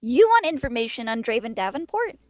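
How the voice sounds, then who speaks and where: Woman as a high-voiced, scholarly pony princess aunt. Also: sing-song, brisk, no room sound, clean studio sound. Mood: explaining. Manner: explanatory, reassuring. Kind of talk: amateur cartoon audio drama acting.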